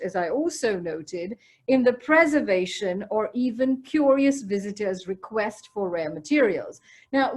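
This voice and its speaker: A woman with a Goan accent giving an academic keynote lecture over a video call, measured and scholarly, with asides to the audience. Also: medium pitch, a little mellow. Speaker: keynote speaker. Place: video call from home or office. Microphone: computer or headset mic on a video call.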